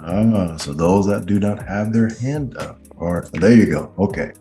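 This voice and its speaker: A man's voice: sits low, unhurried, warm tone, reverberant, soft background music. A man hosting a casual group question-and-answer call. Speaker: host taking members' questions.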